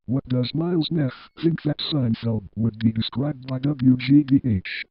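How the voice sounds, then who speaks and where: A man's voice: mid-range voice, unhurried, slightly rough. A man playing a stubborn, contrary contestant in a comedy sketch, giving insistent, clipped answers. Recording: dull lo-fi audio.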